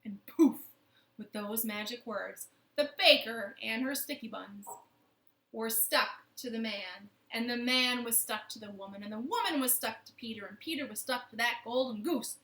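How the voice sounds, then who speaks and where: A woman's voice: on the high side, a bit bright. A woman telling a children's story expressively from her living room, speaking directly to young listeners. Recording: roomy, echoing home living room.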